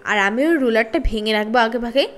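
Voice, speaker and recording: A woman's voice: a little high, brisk, a bit bright, natural voice. A woman voicing cheeky, defiant schoolboys in a children's storybook reading, boastful and bold. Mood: boastful defiance.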